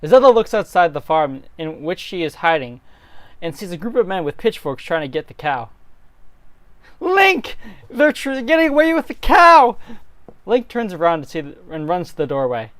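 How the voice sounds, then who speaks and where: A man's voice: on the high side, animated, fairly quick, no echo. A man narrating a dramatic reading of a script as storyteller.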